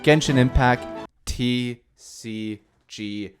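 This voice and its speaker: A man of middle pitch talks casually on his gaming channel, reading a developer update and joking about himself. His manner is chatty and loose. Faint music plays under his voice. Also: extremely slow. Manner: self-deprecating, chatty, mock-excited cheers.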